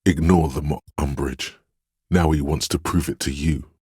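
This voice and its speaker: A man playing the gentle, perceptive inner voice of empathy in a role-playing game, narrating in a measured, intimate, solemn and literary way. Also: fairly even intonation, dry recording, studio quality, full character voice. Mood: tender, perceptive. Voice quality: very deep, gravelly, husky.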